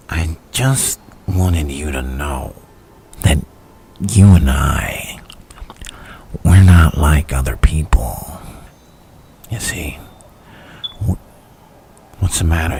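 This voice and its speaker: A man playing a menacing, insinuating villain in an ASMR roleplay, talking in a halting, intimate way. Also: extremely low pitch, gravelly, mellow, a little hiss or hum, full character voice.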